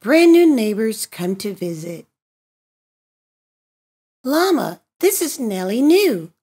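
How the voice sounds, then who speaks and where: Slow, high, animated woman reading a picture book. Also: breathy edge. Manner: sing-song, deliberate delivery for young children. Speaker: storybook reader and host of a read-aloud channel. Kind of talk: expressive children's picture book read-aloud.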